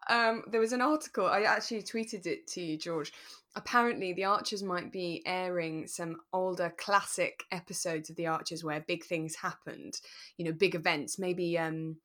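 A woman co-hosting a podcast in relaxed, conversational style, talking thoughtfully and a little tentatively. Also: lowish voice, normal speed. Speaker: podcast co-host.

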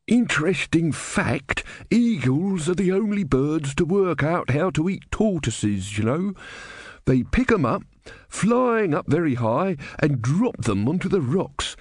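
An elderly storybook bore, played by a male narrator, pedantic and opinionated. He drones on unstoppably in a raised pitch, recorded close and dry. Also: sing-song, measured pace, no echo. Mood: earnest. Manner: boring, unstoppable droning.

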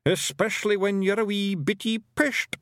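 Man doing a high-voiced, dim little Scottish fairy. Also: fairly even intonation, moderate pace, fairly bright tone, a little hiss or hum. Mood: blithe. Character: tiny dim, tipsy, good-natured male fairy warrior. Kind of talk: audiobook character voice.

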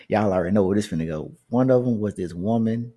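A man in his thirties with a lowish, dark and mellow voice tells a story from his own life. He talks casually and loosely, restarting sentences and throwing in asides to the audience. It feels like relaxed conversational storytelling. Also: normal speed. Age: 30s.

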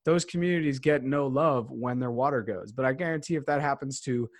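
A man's voice: mid-range voice, a little fast, dry recording, clean studio sound. A man hosting a podcast in a casual conversation, talking in loose, rambling sentences full of restarts and fillers. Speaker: podcast host.

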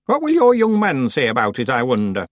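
A man voicing a very old, stern witch who speaks slowly and imperiously in a raised voice. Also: medium pitch, huge pitch swings, no echo, studio quality, pitched above the performer's own voice. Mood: teasing. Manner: slow, clipped and imperious.

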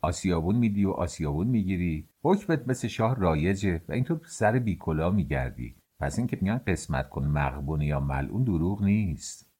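A man's voice: sits low, expressive, mellow, slightly rough. A man voicing an old male bakery worker over sixty, speaking with a slack, drawling Kermanshah accent and a grumbling manner.

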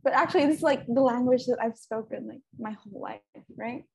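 A young woman of Chinese background hosts a casual podcast. Her voice is high and somewhat breathy, and she talks in a loose, chatty way, dropping "like" often. Hokkien is her first language. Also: a little fast, darkish tone. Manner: chatty, peppered with "like". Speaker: podcast host.

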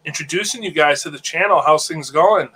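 A man's voice: on the high side, fairly quick, bright, forceful tone, a little hiss or hum, low-quality recording. A man hosting a casual live show, sounding nasal while getting over an illness, easygoing and repeating words as he thinks. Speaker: host of a live reptile-hobby show. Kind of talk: casual live-stream chat.

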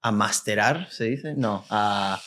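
Castilian-accented man, relaxed podcast guest. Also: lowish voice, slow. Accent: Castilian Spanish. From Miami, USA. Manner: relaxed, chatty, mixes in English words. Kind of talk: casual chat.

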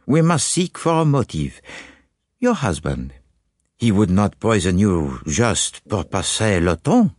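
A man's voice: fairly low pitch, very animated, slow, darkish tone, slightly rough, dry recording, clean studio sound, heavily acted. A man reading an audiobook, voicing a fussy, courteous foreign male detective who speaks precisely and drops French phrases. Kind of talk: audiobook character voice.

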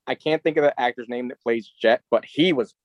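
A man's voice: mid-range voice, brisk, no room sound, dull audio. A man co-hosting a podcast, chatting casually and giving his opinions. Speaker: podcast co-host.

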